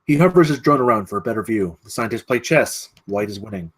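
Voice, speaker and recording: A man with a mid-pitched voice serves as narrator at a table read. He reads out screenplay stage directions and scene headings. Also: animated, fast talker, husky.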